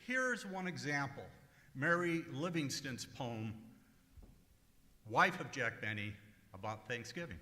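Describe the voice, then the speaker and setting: A man's voice: medium pitch, animated, unhurried, pauses often, bright, forceful tone, a little hiss or hum. A man speaking as emcee, giving a stage introduction to a live audience. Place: large public room, live event. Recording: echoey hall live stage recording.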